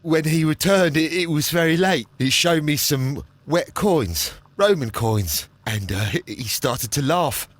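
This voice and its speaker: An actor playing a nervous, haunted councillor in a video game, stammering heavily in a hesitant, apologetic way. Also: male voice, a little high, lively intonation, unhurried, few pauses. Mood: fearful, agitated. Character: nervous, haunted, guilt-ridden male councillor and archaeology enthusiast. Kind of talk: scripted video game acting.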